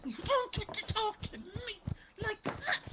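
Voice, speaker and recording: A woman playing a fussing, jealous wife, quick to take offence, theatrically acted in a comic radio play. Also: extremely high pitch, sing-song, very noisy, exaggerated voice. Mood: offended.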